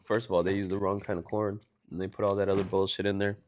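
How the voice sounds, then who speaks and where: A man's voice: low voice, little pitch movement, quick pace, a little mellow, a little hiss or hum. A young man from Texas co-hosting a podcast, chatting casually and swearing freely as he retells conversations. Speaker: podcast co-host. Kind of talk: casual chat.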